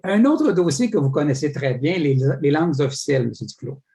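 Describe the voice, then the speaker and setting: A man's voice: a little high, sing-song, brisk, vocal fry, dark tone. A man, moderating a debate and posing prepared questions emphatically, with filler words and small stumbles.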